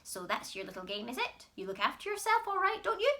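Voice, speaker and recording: A woman voicing a huge, bossy, greedy old grandmother who shouts and scolds in a shrill voice. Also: big pitch swings, quick pace, full character voice. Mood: scolding.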